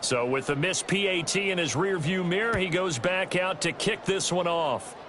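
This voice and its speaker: A man calling play-by-play football in a brisk, crisp game-commentary style. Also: high-pitched, noisy background. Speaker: play-by-play announcer. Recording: studio voice with game music behind. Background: game music.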